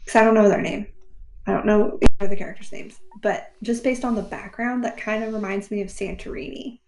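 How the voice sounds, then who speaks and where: A woman's voice: medium pitch, fairly even intonation, brisk, a little mellow, a little hiss or hum. A woman co-hosting a podcast, chatting casually about books in a roomy, echoey recording. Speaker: podcast co-host. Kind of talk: casual book chat.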